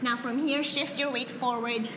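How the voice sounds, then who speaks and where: Woman yoga teacher, Tagalog first language, high voice, upbeat cues, echoey roomy reverb, noisy background. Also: steady tone, normal speed. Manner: upbeat, cueing breaths, laughs and cheers often. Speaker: yoga instructor. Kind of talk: guided yoga class instruction.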